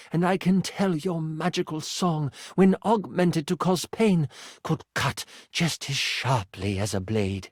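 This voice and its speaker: A man voicing a regal, protective warrior queen in a fantasy game, speaking in a formal, measured and solemn way. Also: a little high, dry recording, studio quality. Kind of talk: dramatic fantasy roleplay in a game.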